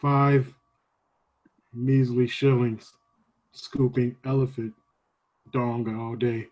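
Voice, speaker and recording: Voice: medium pitch, level tone, takes it slowly, darkish tone, dull audio, voice pushed down. An improviser playing a worn-out, hard-up dad in a comic radio play. He is callous and talks hesitantly, half-laughing through his lines. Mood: weary. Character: weary, poor, callous father in an absurd household.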